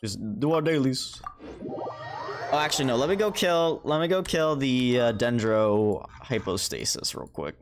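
A man's voice: medium pitch, lively intonation, moderate pace, background noise. A young American man doing casual, slangy gameplay commentary in an offhand, musing way as a livestreamer. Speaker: video game livestreamer. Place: indoor streaming room with webcam. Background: game music.

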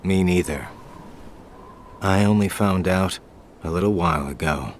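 Cool, guarded young man, low-voiced. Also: unhurried, slight background noise. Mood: guarded, serious. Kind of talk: video game voice acting.

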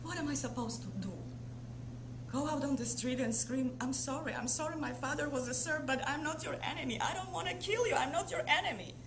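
An actress playing a distressed, bitter wife and mother in a halting, dramatic one-woman stage monologue. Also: high voice, reverberant. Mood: anguished. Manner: halting, repetitive, emotionally dramatic. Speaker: stage actor. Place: indoor theatre stage, rehearsal. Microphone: camera a few metres away. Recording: noisy theatre camera recording with hum.

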